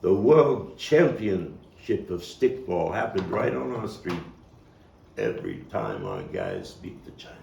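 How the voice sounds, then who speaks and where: A man performing a theatrical monologue as a haunted Brooklyn man looking back on his boyhood, halting and dramatic with long pauses. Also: sits low, sing-song, creaky, a little mellow, full character voice. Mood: nostalgic. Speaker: playwright and actor. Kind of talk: theatrical monologue at a table read. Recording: roomy, distant, noisy live capture.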